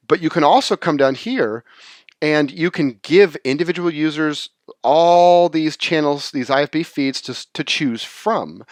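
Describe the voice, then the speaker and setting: A man presenting an unscripted software tutorial, explaining in a halting way and rephrasing as he goes. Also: on the high side, no room sound. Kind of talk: informal screen-share tutorial, unscripted explanation.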